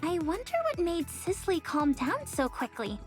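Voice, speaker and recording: A woman's voice: very high voice, big pitch swings, moderate pace, faint music behind. A woman playing an eager, playful, game-loving young heroine in a video game, perky and inquisitive with theatrical phrasing. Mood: curious. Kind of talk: video game cutscene acting.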